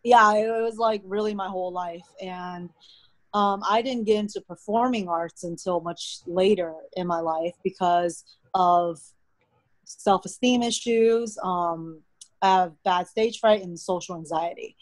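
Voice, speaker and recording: A young American woman whose first language is Mandarin, telling stories in a relaxed, chatty way as a podcast guest. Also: fairly low pitch, measured pace, dry recording. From United States. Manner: relaxed, chatty storytelling with frequent "like". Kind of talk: casual interview conversation.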